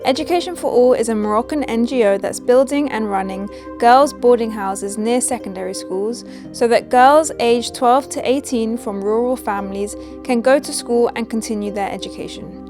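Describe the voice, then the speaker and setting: A woman host narrates in a clear, persuasive documentary tone. Her voice sits a little high. Music plays softly under her words. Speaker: travel vlogger and host. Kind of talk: scripted narration.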